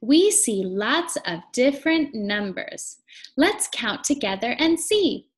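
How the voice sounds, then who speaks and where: A young woman reading aloud to small children in an encouraging, sing-song teacher's manner, guiding them along deliberately. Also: on the high side, sing-song. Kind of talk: interactive children's read-aloud lesson.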